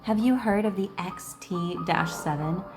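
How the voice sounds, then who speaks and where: A woman hosting a gaming video, reading game dialogue aloud and adding salty, expressive commentary. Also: on the low side, measured pace. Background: game music.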